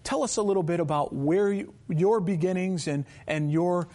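A man hosting an interview show, asking questions in a warm, affirming way. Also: high-pitched, moderate pace. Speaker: host. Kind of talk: interview conversation.

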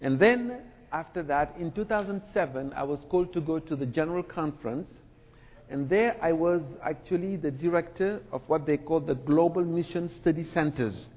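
A middle-aged man from Senegal who grew up in France, giving a talk in a relaxed, conversational way with many fillers and asides. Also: fairly high pitch, moderate pace. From Senegal, raised in France. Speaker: speaker, church representative. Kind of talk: sermon-style lecture. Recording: dull sound with long hall reverb.

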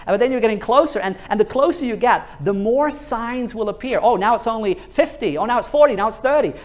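A man preaches a sermon in an earnest, urgent way. He often repeats words as he builds momentum. He turns to his listeners with questions to draw them in. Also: sits high. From Norway.

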